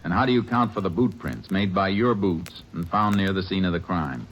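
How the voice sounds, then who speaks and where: A calm, firm Texas Ranger lawman with a very low voice, questioning in steady, measured tones. Light hiss sits under this old radio recording. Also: rough recording. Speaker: narrator and lead character. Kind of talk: 1940s radio drama acting.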